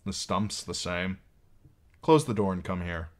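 A man's voice: low voice, expressive, measured pace. A man voicing a bitter, cynical knight commander in an audiobook, speaking in a dry, sardonic, commanding way. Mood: wry, cynical.

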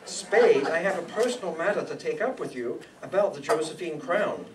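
A man's voice: fairly high pitch, moderate pace, close to an everyday voice. An older man playing an anxious, scheming married businessman in a radio play, earnest and pleading. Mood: firm. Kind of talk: old-time radio acting before an audience. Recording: live stage pickup, distant, background noise.

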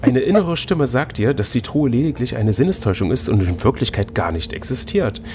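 A man narrates a gamebook as game master, slipping between storytelling and casual chat. His intonation swings widely, and there is clear noise behind him. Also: mid-range voice, very animated, fairly quick. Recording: noticeable background noise.

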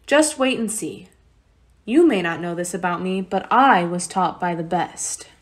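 A woman's voice: on the low side, lively intonation, measured pace. A woman playing an earnest, sentimental young refugee girl in a radio play.

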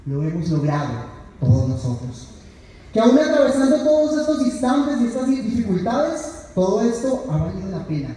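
A man's voice: very high-pitched, sing-song, moderate pace, dark tone. A young man, a graduating student, reading a prepared speech at a podium in an earnest, declamatory manner. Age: young adult. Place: large university auditorium, indoors. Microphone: podium microphone, picked up by distant audience camera. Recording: echoey hall from far off, faint noise.

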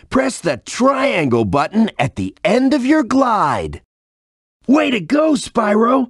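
An actor playing an eager, helpful small creature guide in a video game, with bouncy, drawn-out delivery. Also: male voice, high voice, vocal fry, husky, no room sound, studio quality, exaggerated voice. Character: eager, helpful small creature guiding the young dragon hero.

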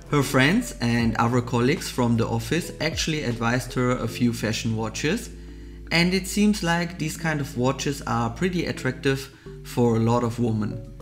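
A man talks through watch picks in a scripted vlog voice-over at an everyday pitch. He explains each option with keen, explanatory enthusiasm. Soft background music sits under his voice. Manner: enthusiastic, explanatory product commentary. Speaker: vlogger, watch channel host.

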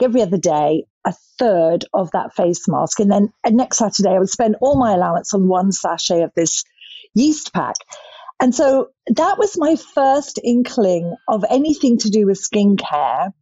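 A woman in her sixties, a guest telling lively stories from her childhood over a video call. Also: mid-range voice, slightly rough, dry recording. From Scotland and southern England. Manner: lively, expressive, gestures a lot with her hands. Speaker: skincare brand founder, guest. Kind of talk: storytelling interview answers. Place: bright living room at home. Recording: dry, slightly dull video-call audio.

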